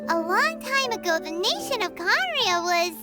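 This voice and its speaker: Super high squeaky little fairy girl, bouncy voice all over the place, game music behind. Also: big pitch swings, normal speed. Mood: curious. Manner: bubbly, inquisitive, childlike. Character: tiny chirpy female fairy guide companion. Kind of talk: video game cutscene voice acting.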